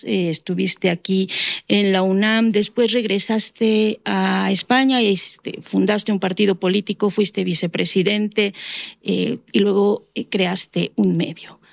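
A woman hosting an interview, asking questions in a halting way with many fillers. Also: medium pitch, vocal fry, no echo, studio quality. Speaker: interview host.

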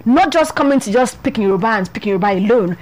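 A woman's voice: fairly high pitch, lively intonation, very fast, darkish tone. A young woman with a Nigerian accent, co-hosting and telling a story in a chatty way full of restarts. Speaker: podcast co-host. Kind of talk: casual advice talk.

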